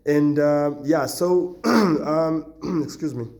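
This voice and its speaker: A man who founded a company, hoarse from nearly losing his voice, recording a halting, repetitive video announcement to job applicants with stern warnings. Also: a little high, darkish tone.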